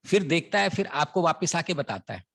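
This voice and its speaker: A man's voice: on the high side, big pitch swings, normal speed, vocal fry, fairly bright tone. A man teaching maths, explaining a word problem as a story and checking often that students follow, with a sore throat. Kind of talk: classroom lecture.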